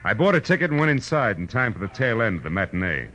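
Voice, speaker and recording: An American actor playing a wry, world-weary private detective, narrating in punchy deadpan 1940s radio noir style. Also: male voice, lowish voice, fast, muffled recording, a little hiss or hum. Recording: old radio drama, music underneath.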